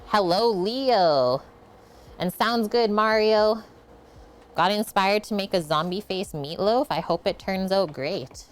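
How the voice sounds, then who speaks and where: A woman's voice: medium pitch, takes it slowly. A woman hosting a casual home cooking livestream, chatting as she cooks and answering viewer comments. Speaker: cooking show host. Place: home kitchen.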